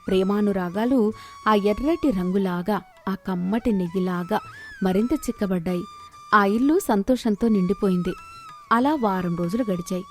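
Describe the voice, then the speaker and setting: A woman's voice: medium pitch, a little mellow. A woman storyteller reading a scripted moral tale.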